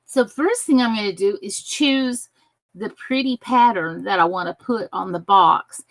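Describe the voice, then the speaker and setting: A woman hosting a craft livestream in a chatty, giggly way, greeting viewers, her voice a little croaky. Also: medium pitch, unhurried, no echo, poor audio. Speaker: crafting channel host. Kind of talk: casual craft tutorial livestream.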